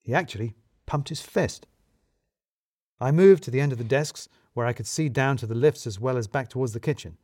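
A man's voice: mid-range voice, fairly quick, darkish tone, no room sound. A man giving dry, wry, scripted first-person narration of a comic mystery. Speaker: audio drama narrator.

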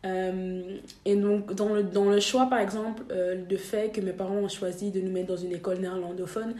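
A woman's voice: medium pitch, little pitch movement, normal speed, dark tone. Young woman, lots of euh and restarts, echoey room. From Belgium. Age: young adult. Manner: hesitant, many fillers and restarts. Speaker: podcast guest. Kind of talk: casual interview.

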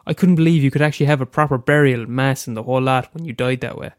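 Irish man, young, stuffy head cold, smooth resonant voice, dry close sound, reading own story. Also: mid-range voice, quick pace, dry recording. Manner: casual, hesitant with fillers in the intro. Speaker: amateur storyteller. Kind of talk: reading his own short story aloud.

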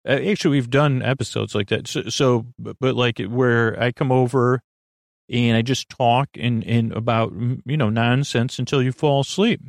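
A man hosting a sleep podcast in a creaky voice, meandering hesitantly and soothingly from one digression to the next. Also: mid-range voice, fairly even intonation, moderate pace, dry recording, clean studio sound. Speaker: podcast host.

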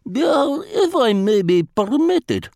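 A man's voice: sits high, sing-song, extremely slow, clear, resonant tone, no room sound, studio quality, voice pushed up, heavily acted. A man voicing a wily, self-mocking foreign spy who speaks formal English and drops his articles. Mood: smooth evasive.

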